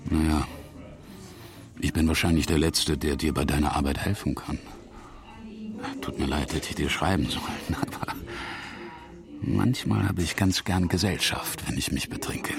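An actor playing a wry, nostalgic academic who likes a drink, languid and dry, in a German radio drama. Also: male voice, a bass voice, moderate pace, vocal fry, pauses often. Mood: resigned, teasing. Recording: bar crowd murmur behind.

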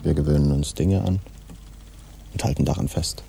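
An actor playing a weary, philosophical pirate in a radio play, speaking in a dry, resigned way. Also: male voice, a bass voice, steady tone, normal speed, vocal fry, mellow, a little hiss or hum, full character voice. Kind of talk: German radio play acting.

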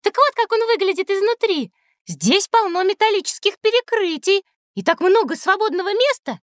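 A woman's voice: very high-pitched, lively intonation, normal speed, no echo, studio quality. An actress playing a wide-eyed, innocent little girl in an educational audio drama, with a childlike, wondering manner.

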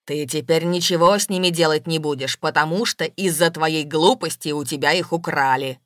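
Audiobook role: bullying, suspicious man played by a female reader, lowered voice, forceful accusations, dry recording. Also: big pitch swings, unhurried, no echo, heavily acted. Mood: outraged, shouting. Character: angry, bullying middle-class businessman, jealous and suspicious.

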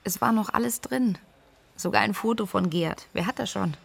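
A composed woman with medical precision, recounting like an interviewed witness in a radio play. Her middle-pitched voice has clear creak. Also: normal speed. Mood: clinical, composed. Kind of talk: interview-like radio drama narration.

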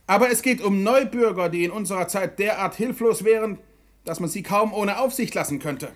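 An actor playing a pragmatic, cynical prime minister chairing a cabinet in a German science fiction radio drama, with a formal, wryly theatrical delivery. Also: male voice, high voice, brisk, lightly acted. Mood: dry, businesslike.

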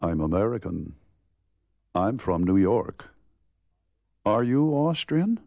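A man playing a deep-voiced American actor at a party. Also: big pitch swings, creaky, no room sound, muffled recording, full character voice. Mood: friendly. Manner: slow, carefully spoken lines for learners. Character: American male actor from New York, chatting up a woman at a party. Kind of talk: scripted language course dialogue.

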